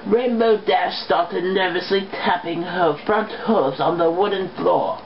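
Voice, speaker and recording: Slow-reading woman on a muffled home recording. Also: on the low side. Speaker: amateur fan fiction reader. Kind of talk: casual, halting read-aloud. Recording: dull lo-fi home recording, background noise.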